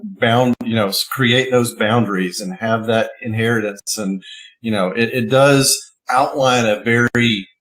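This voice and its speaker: A man, a cybersecurity expert on a live panel, talking in a measured, halting way with frequent pauses. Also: lowish voice, fairly bright tone, rough recording. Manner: measured, halting, many fillers. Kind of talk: thoughtful panel discussion.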